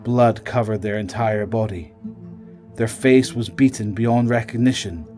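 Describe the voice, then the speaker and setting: A man's voice: lowish voice, fairly even intonation, unhurried, a little mellow, faint music behind. A man reading scripted narration for a horror audio drama.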